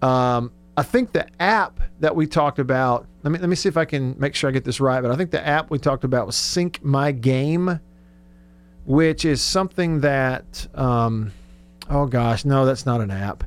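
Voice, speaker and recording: A man's voice: medium pitch, moderate pace, smooth. Man, sports radio host, casual chat, conversational, thinking aloud.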